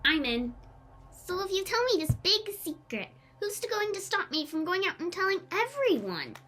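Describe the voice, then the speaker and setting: A woman's voice: very high voice, big pitch swings, normal speed, fairly bright tone, exaggerated voice. A female performer playing a small, suspicious, grumpy orphan girl with a blunt, challenging manner in a family audio drama.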